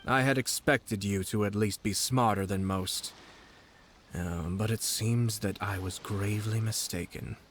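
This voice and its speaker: Composed, low-voiced shrewd nobleman, acted by a man. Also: fairly even intonation, slight background noise, natural voice. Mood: feigned humility. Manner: polite, unhurried, quietly condescending. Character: composed, shrewd young male noble commissioner. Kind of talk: dramatic video game acting.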